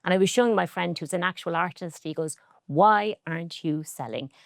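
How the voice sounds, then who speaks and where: A woman's voice: on the low side, a little fast, gravelly, no room sound, studio quality. A middle-aged woman with a Cork Irish accent, a textile artist talking earnestly and reflectively in an interview. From Ireland. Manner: earnest, reflective, speaks with pride about heritage. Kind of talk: relaxed interview conversation.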